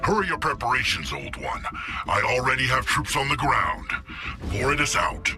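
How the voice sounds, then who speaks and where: A man's voice: mid-range voice, sing-song, normal speed, pushed and bright. A man voicing a brash, impatient alien brute chieftain in a video game, cocky and commanding, with music behind. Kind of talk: video game character acting.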